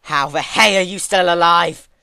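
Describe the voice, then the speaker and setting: A man voicing a sullen, cruel young female pony student in a fan fiction reading, stammering and shouting in disbelief. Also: high voice, normal speed, gravelly, clean tone, no room sound, pitched above the performer's own voice, exaggerated voice. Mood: shocked, yelling.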